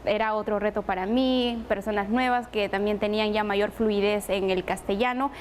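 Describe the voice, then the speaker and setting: A woman's voice: mid-range voice, steady tone, moderate pace, slight background noise. A woman presenter from Apurímac, Peru, whose first language is Quechua, telling her own story earnestly in an interview. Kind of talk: personal interview testimony.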